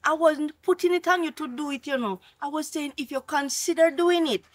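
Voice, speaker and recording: A woman's voice: sits high, brisk, close to an everyday voice. A woman playing an emotional, churchgoing wife who talks in religious phrases. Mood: pleading. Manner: pleading, then furious.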